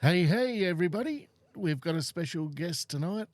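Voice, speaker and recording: A man's voice: sits high, animated, moderate pace, no room sound. Man, podcast host, casual friendly chat, self-deprecating, small studio.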